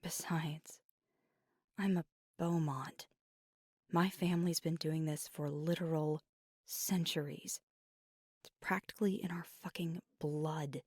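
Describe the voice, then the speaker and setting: A woman playing a proud, fiery but weary werewolf girlfriend in an intimate ASMR roleplay, with a hushed, breathy, halting delivery that often slips into a whisper. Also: low voice, steady tone, no echo, clean studio sound, full character voice. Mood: proud, irritated.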